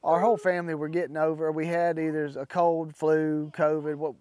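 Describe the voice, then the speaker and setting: A man talks to camera in a barn at night, his voice a bit stuffy and nasal from a recent cold. His tone is mellow and sits a little high for a man. He rambles easily, with plenty of fillers and restarts. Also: little pitch movement. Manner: halting, many fillers and restarts. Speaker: farm vlogger. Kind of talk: casual talk to camera.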